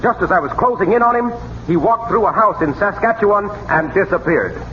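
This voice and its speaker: A muffled old radio broadcast presents a courteous, cheery police sergeant. His high voice lilts up and down with clipped, jovial propriety. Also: sing-song, a little fast. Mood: earnest. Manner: polite, clipped, jovially proper. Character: polite, cheery Canadian mounted police sergeant, British-sounding. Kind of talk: 1930s radio comedy acting. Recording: dull, faintly noisy 1930s radio broadcast.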